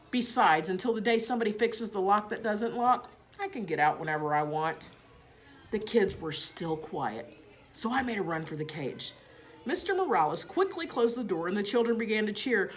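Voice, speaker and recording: Lowish, animated woman reading a storybook. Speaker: teacher reading aloud. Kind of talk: expressive children's storybook narration.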